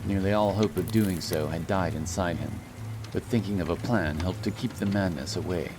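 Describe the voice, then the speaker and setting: Very deep-voiced male fantasy narrator over music. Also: moderate pace. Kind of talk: scripted fantasy audiobook narration. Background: music and rain sound effects.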